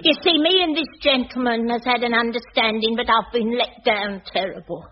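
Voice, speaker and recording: A woman's voice: a little high, moderate pace, natural voice. An actress playing a cheeky, man-hungry middle-aged female cleaner in a radio sitcom. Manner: brazen, chirpy, comic innuendo. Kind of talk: 1980s radio sitcom acting. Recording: dull, hissy old radio broadcast.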